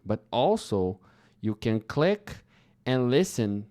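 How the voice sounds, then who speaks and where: A young man from Brazil, a Portuguese native speaker with a Brazilian accent, teaching English slowly and carefully to learners. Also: mid-range voice, sing-song, dark tone. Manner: slow, careful, deliberate phrasing with pauses. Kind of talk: slow English lesson talk.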